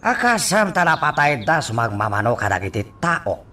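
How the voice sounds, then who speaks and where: An actor playing a deliberate, shady businessman in a radio crime drama, slightly off the microphone. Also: a little high, very animated, pushed and bright, far from the mic, full character voice. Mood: wary.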